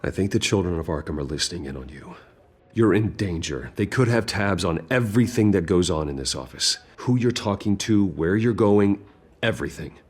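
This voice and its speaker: An actor playing a stern, guarded American billionaire vigilante in a video game, speaking in a serious, clipped way. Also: male voice, deep voice, lively intonation, moderate pace, darkish tone, husky. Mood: grave.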